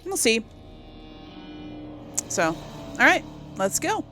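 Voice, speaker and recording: A woman's voice: fairly high pitch, very animated, extremely slow, pushed and bright, soft background music. A woman giving casual let's play commentary, chatting to viewers and thinking aloud as she goes. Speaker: gaming channel host.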